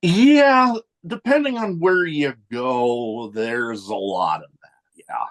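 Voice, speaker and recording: A man hosts a casual podcast at a mid pitch. He is easygoing and agreeable, nodding along with short replies, then telling his own travel stories. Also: animated, slow, a bit bright. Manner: easygoing, agreeable, brief acknowledgements; navy veteran. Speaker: podcast host.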